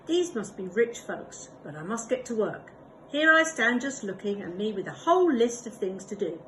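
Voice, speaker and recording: A woman voicing a cheerful, literal-minded housekeeper in a read-aloud storybook, speaking slowly and emphatically. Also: mid-range voice, sing-song, a bit bright, a little hiss or hum, low-quality recording.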